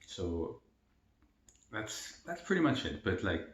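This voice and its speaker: A young man from El Salvador talks through a casual question-and-answer vlog, relaxed and rambling with plenty of fillers. His voice sits fairly low. The room gives the recording a noticeable echo. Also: takes it slowly. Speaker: mukbang vlogger. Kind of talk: casual Q&A while eating. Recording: roomy echo.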